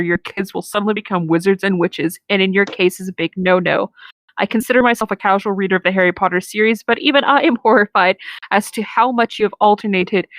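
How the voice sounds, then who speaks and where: A woman with a mid-pitched voice reads aloud casually, in an informal manner. She trips over the odd word and corrects herself. The sound is dry, with very little room in it. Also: no echo, low-quality recording. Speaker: co-host reading reader reviews aloud. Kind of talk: casual reading aloud with reactions.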